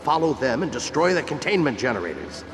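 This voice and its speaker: An actor playing an exasperated, commanding veteran general in a video game, giving stern instructions. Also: male voice, medium pitch, big pitch swings, fast, close to an everyday voice. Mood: urgent, exasperated. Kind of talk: animated video game cutscene acting. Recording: long reverb with music behind.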